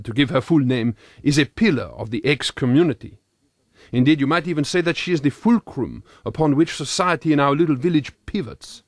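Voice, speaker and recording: A man's voice: mid-range voice, no room sound, studio quality, close to an everyday voice. A man voicing an earnest, pompous thirty-eight-year-old Frenchman with a French accent, reading a comic audiobook diary in formal, quaintly wordy phrases.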